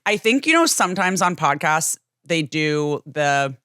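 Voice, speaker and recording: A woman's voice: low-pitched, big pitch swings, unhurried, fairly bright tone, clean tone, no room sound, studio quality. Gushing young woman podcast host. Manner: gushing, many likes and oh my gods. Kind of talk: casual interview chat.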